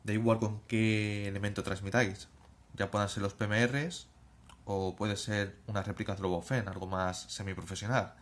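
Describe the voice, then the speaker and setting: A man's voice: fairly low pitch, little pitch movement, moderate pace. A man with an accent from Spain presenting an informal explainer in a didactic manner, often checking in with short tag questions. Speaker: presenter of an emergency preparedness channel.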